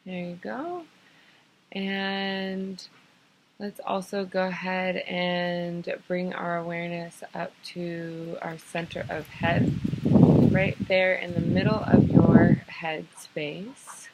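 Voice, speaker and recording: Low-pitched, gravelly woman guiding meditation. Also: flat delivery, very noisy. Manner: unhurried, soothing, pausing between short phrases. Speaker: guided meditation teacher and host. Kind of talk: guided meditation, improvised gentle instruction.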